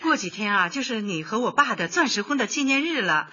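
Adult daughter, radio drama, slightly raspy, lively pitch, caring, coaxing, fussing. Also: female voice, a little fast, heavily acted. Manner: expressive, coaxing. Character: grown daughter, caring, fussing, gently nagging. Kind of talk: Chinese radio drama acting. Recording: dull, lo-fi audio with faint music.

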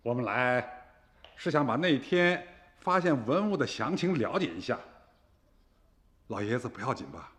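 A man playing a composed police investigator in a radio drama, talking in a measured, formal, insistent way. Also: mid-range voice, big pitch swings, fairly bright tone. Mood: polite, probing.

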